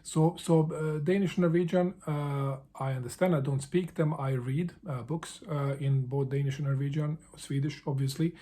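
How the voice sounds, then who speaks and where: Mellow, halting man. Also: on the high side, steady tone. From Bosnia. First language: Bosnian. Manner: halting, with frequent restarts and repeated words. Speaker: book vlogger. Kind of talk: casual answers to a book tag.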